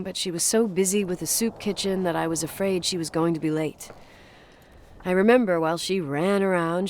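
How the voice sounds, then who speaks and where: A woman's voice: lowish voice, darkish tone, close to an everyday voice. An actress playing an anxious young woman in a naturalistic video game drama, speaking expressively.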